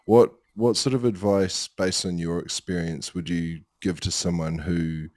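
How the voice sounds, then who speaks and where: A man hosts a podcast in a deep voice, working through long questions with thoughtful pauses. He speaks in a measured, reflective way. The recording is dry and close. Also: fairly even intonation, darkish tone, no room sound. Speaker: podcast host. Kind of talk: interview questions.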